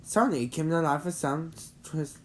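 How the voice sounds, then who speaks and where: A woman's voice: low voice, normal speed, a little hiss or hum. A woman reading a children's storybook aloud, now and then stumbling over words. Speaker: storybook reader.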